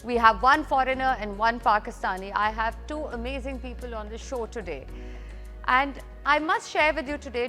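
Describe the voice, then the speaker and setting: A woman hosting a TV interview show, upbeat and emphatic, asking quick follow-up questions. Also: a little high, pushed and bright. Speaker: talk show host.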